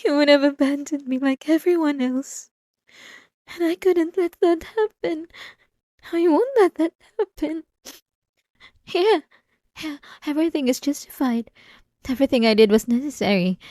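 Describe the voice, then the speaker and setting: A woman's voice: high voice, measured pace, long pauses, warm tone, no echo, clean studio sound. A woman playing an unhinged, possessive and obsessive wife in a dramatic ASMR roleplay, intense and swinging between pleading and menace. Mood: desperate, delusional. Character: unhinged possessive, obsessive young wife confronting her husband.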